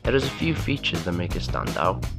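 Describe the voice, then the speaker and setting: A man with a deep, low voice narrates a documentary in an even, measured way. Guitar music plays clearly beneath his words. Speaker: documentary presenter. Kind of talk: scripted narration.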